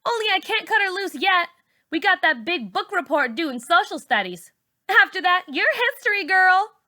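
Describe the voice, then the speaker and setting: A woman's voice: extremely high pitch, big pitch swings, normal speed, bright, forceful tone, voice pushed up, full character voice. A woman voicing a bossy, mocking teenage girl who leads her group of friends. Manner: mocking, taunting.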